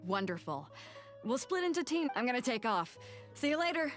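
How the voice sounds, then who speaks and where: Bold, lively sea captain. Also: female voice, fairly high pitch, normal speed, faint music behind. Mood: confident. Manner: informal, confident, easygoing. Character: bold, breezy, hearty female sea captain. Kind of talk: video game voice acting.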